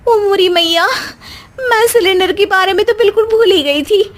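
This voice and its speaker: A woman's voice: extremely high pitch, moderate pace, voice pushed up. A woman voicing a feisty, grumbling young Indian daughter-in-law in a cartoon, exclaiming and complaining with indignant drama. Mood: flustered.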